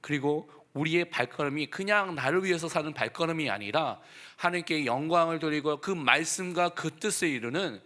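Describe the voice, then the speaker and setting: A man preaching a church sermon as pastor, exhorting emphatically in a voice hoarse from a throat cold. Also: fairly high pitch, fairly quick, hardly any pauses, bright, forceful tone. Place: church service.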